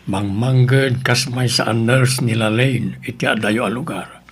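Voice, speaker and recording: An actor plays a weary old farmer in a radio drama. His voice is creaky and hoarse-edged, and he comes across as stubborn yet devoted to his children. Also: medium pitch, steady tone, slow. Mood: wistful. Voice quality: gravelly, raspy.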